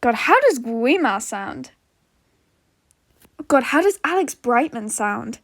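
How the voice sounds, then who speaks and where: A woman's voice: fairly high pitch, big pitch swings, slow, no echo, studio quality. A woman podfic narrator chatting casually to her listeners in an informal, giggly, self-deprecating way.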